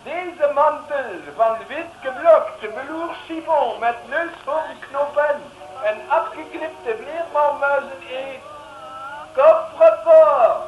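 A pompous, affected fashion-show announcer from an old radio comedy serial. The voice is extremely high and slow, stretching words ceremoniously. It rings in an echoey space on a dull vintage recording. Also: male voice, a little hiss or hum. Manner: grand, drawn-out, ceremonious. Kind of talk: 1960s radio acting.

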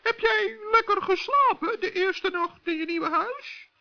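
A man voicing a kindly, shrewd little forest gnome in a children's radio play, with a gently teasing manner. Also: extremely high pitch, unhurried, vocal fry, voice pushed up, heavily acted. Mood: curious. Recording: dull, lo-fi old recording.

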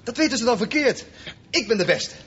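A man's voice: very high-pitched, lively intonation, fairly quick. A male actor playing a defiant, stubborn boy, insistent and eager, in a Dutch radio play. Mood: defiant, bitter. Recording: muffled, slightly noisy radio play recording.

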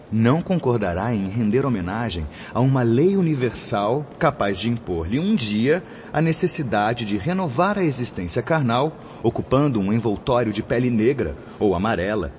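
An actor playing a charismatic, solemn spiritual teacher in a radio drama, preaching formally and eloquently with a Brazilian accent. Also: male voice, medium pitch, expressive, normal speed, darkish tone, lightly acted. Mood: stern, impassioned. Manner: preachy, formal, oratorical delivery. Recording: dull lo-fi audio with background noise.

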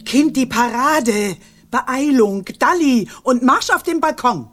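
A woman's voice: sits high, expressive, fairly bright tone, faint music behind, full character voice. An actress in a radio play voicing a bossy old grandmother, a witchy matriarch who scolds and gives orders. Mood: bossy, impatient. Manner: commanding, brisk orders, scolding.

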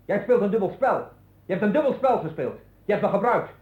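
Bitter accusing man, high fast voice, confrontational, old radio play. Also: animated. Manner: confrontational, repetitive. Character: bitter, accusing man turning on his friend. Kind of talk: 1960s Dutch radio drama acting. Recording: echoey, muffled 1960s radio recording.

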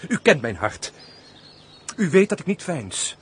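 A man's voice: fairly high pitch, very animated, unhurried. A man acting a lovesick aristocratic major in a Dutch radio play, florid, sighing and declamatory. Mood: lovesick pleading. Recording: noisy old radio play recording.

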